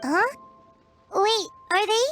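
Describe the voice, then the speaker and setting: A woman voicing a tiny childlike fairy companion in a video game, with a squeaky voice and an earnest, curious, eager manner. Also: slow pace, a bit bright, soft background music, exaggerated voice. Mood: concerned. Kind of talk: scripted English video game voice acting.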